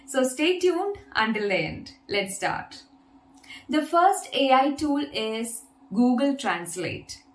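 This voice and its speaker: A woman's voice: high-pitched, sing-song, slow pace, reverberant. A woman whose first language is Malayalam, teaching an English lesson and patiently demonstrating an app step by step. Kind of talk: video lesson.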